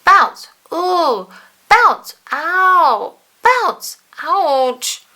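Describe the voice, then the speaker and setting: Storybook role: small bouncy male creature, acted by a woman, raised pitch, bright, plaintive outbursts, hapless temper. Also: very animated, drawn-out words, exaggerated voice. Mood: startled, exclamatory. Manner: exclamatory, plaintive.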